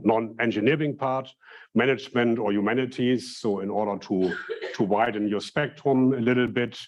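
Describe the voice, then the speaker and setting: A man who directs a study program, welcoming new students in a friendly, halting way with many restarts. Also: medium pitch, darkish tone, lo-fi sound. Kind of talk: casual welcome talk.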